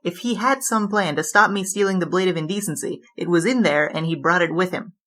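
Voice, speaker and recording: An actress playing a boastful, flamboyant female bandit king in an audio drama, with a theatrical, grandiose, quick-witted delivery. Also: on the low side, a bit bright, lightly acted.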